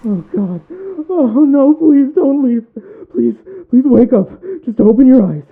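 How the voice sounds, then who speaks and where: A man's voice: extremely high pitch, expressive, unhurried, gravelly, exaggerated voice. An actor playing a desperate man crying out in anguish and pleading, in a dull, lo-fi recording. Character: desperate, pleading man begging someone to wake up.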